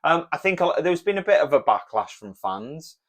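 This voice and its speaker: A man's voice: fairly high pitch, brisk. Welsh man co-hosting a podcast. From Wales. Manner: relaxed, plain-spoken, reads listener messages aloud. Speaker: podcast co-host. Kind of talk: casual podcast chat.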